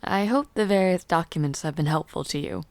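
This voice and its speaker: A woman playing a refined, gentle, tired noblewoman in a fantasy audio roleplay, speaking formally and softly in measured phrases with hesitant pauses. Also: fairly low pitch, no room sound, close to an everyday voice. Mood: composed, patient. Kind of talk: fantasy audio roleplay, intimate one-sided dialogue.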